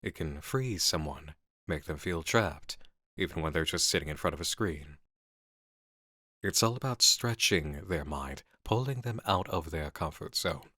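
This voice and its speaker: An actor playing a composed, cerebral male coworker in an ASMR roleplay drama, speaking persuasively with deliberate pauses. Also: rumbling low, expressive, sharp tone, no room sound, studio quality, heavily acted. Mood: intense, persuasive.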